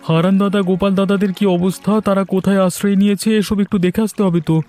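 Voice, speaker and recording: A man's voice: high-pitched, little pitch movement, quick pace, warm tone, faint music behind, close to an everyday voice. A man voicing a reassuring, confident and resourceful village husband in a cartoon story.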